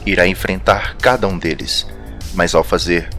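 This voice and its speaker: A man playing a solemn, low-voiced patrol veteran. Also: fairly even intonation, normal speed, fairly bright tone, soft background music. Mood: grave, solemn. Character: solemn male patrol veteran briefing a new recruit. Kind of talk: sci-fi audio drama trailer narration.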